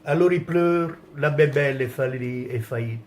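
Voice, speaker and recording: A man's voice: medium pitch, normal speed. A man from France in a rambling, indignant rant, with many restarts and trailing phrases.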